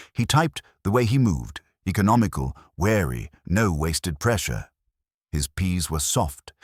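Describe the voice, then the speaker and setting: A man narrating a scripted detective story as an audiobook reader, with wry, deliberate phrasing. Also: sits low, slightly rough, no echo, clean studio sound.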